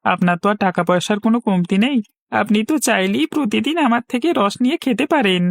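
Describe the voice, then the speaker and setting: A man plays an honest village vendor in a cartoon. His voice is gravelly, his manner earnest, polite and persuasive. The sound is dry and close. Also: high voice, fast, no echo. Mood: friendly, eager. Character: earnest, honest male date-palm sap seller. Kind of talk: cartoon voice acting.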